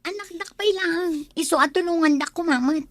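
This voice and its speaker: Radio drama role: struggling young wife and mother, Ilocano accent, high pitch, defensive, close dry sound , played by a woman. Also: moderate pace, no room sound. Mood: pleading.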